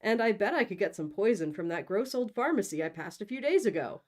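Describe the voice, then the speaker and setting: A woman's voice: medium pitch, lively intonation, a little fast, a little mellow, lightly acted. A woman voicing a dramatic, pushy young lovestruck hero in a comic storybook reading, with slangy, bossy delivery. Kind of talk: comic storybook read-aloud.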